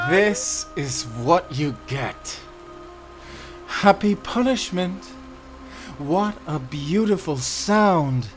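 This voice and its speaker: A man's voice: high-pitched, full character voice. A man playing a cruel, gloating prison warden in an audio drama, drawling and mocking his captives. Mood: sadistic glee. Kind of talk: audio roleplay drama acting.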